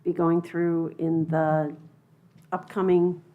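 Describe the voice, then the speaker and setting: A woman's voice: on the low side, flat delivery, slow, phone-quality sound. A woman leading a village board meeting, moving through the agenda. She is courteous and businesslike, with a mid-range voice. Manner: polite and procedural. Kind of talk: chairing a public meeting. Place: meeting room.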